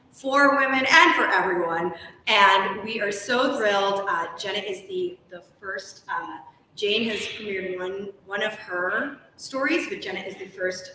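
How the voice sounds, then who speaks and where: A woman's voice: mid-range voice, bright, forceful tone. A woman hosting a live interview in an effusive, gushing way, introducing her guest and asking questions. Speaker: host interviewing an author. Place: large ornate hotel room, indoors. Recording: very echoey room, distant mic, faint noise.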